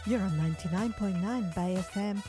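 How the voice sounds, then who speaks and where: A woman hosting a community radio show, speaking in a relaxed, unhurried way with occasional fillers. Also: medium pitch, music in the background. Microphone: studio microphone.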